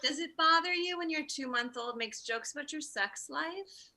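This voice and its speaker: A woman's voice: high-pitched, moderate pace, bright, forceful tone. A woman playing a blunt, matter-of-fact family therapist of about fifty, asking probing questions in a comedy table read. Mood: brisk. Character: brisk blunt fifty-year-old female family therapist. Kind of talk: live table read of an animated comedy script.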